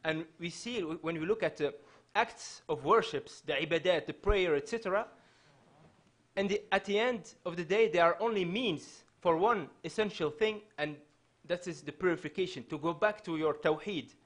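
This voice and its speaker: A man in his thirties, raised in Belgium with Dutch as his first language, giving an earnest, measured lecture from a panel table in a hall, often restarting his phrases. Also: sits high, fairly bright tone. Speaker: panelist speaker. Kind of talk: public lecture. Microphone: table microphone.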